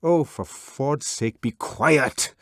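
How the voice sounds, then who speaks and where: A man's voice: on the high side, very animated, measured pace, no room sound, studio quality, voice pushed up. A man voicing a brooding, defiant young man. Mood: intense. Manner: earnest, brooding, sometimes shouting. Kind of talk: audiobook character voice.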